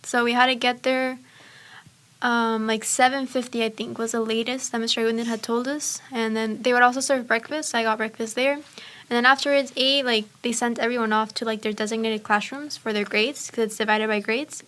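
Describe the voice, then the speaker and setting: Chatty teenage girl, higher voice. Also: steady tone, brisk, a bit bright. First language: Spanish. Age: teens. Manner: chatty, many fillers. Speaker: student speech competitor. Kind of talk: casual chat.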